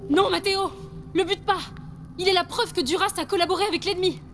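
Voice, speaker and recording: A woman's voice: extremely high pitch, fairly quick, fairly bright tone, faint music behind. A woman playing a principled female intelligence agent in a French audio drama, urgent and reproachful in manner. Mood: alarmed. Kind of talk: French audio fiction acting.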